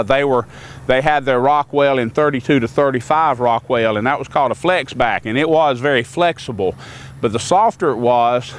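An older man on a lawn beside a sawmill, plainly explaining equipment in an unscripted, folksy way with many fillers and restarts. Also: mid-range voice.

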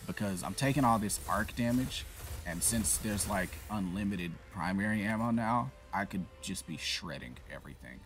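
A man giving casual, profanity-laced commentary while playing a shooter game, reacting loudly to what happens on screen. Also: on the low side, animated, normal speed, faint music behind. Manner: swears freely, reacts loudly to in-game events. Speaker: gameplay commentator.

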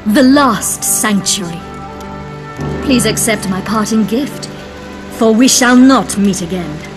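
Game casting: regal, defiant elven queen, measured and slow, over music. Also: medium pitch, animated. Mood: defiant, solemn. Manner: stately, measured. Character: solemn, defiant elf queen of light magic. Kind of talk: video game cutscene acting.

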